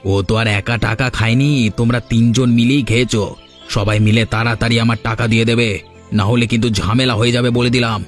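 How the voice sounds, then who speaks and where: Man voicing a fast-talking angry village creditor. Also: medium pitch, flat delivery, close to an everyday voice. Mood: angry, threatening. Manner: aggrieved, insistent. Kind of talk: cartoon dialogue. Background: faint music.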